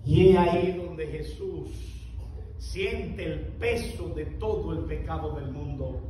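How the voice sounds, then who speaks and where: A man preaching an impassioned sermon to a congregation, shouting and drawing out words for emphasis. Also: fairly high pitch, slow, slight background noise. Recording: very echoey, from far off.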